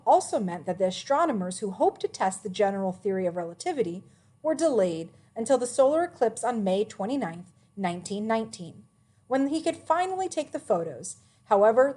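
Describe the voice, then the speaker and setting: A woman reading a children's biography aloud as a narrator, with expressive, emphatic phrasing. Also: fairly high pitch.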